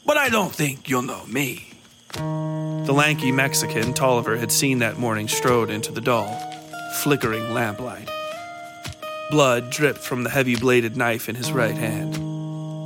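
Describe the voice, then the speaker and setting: A man narrating a scripted dark western story for a fiction podcast. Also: medium pitch, steady tone, measured pace, loud music behind the voice.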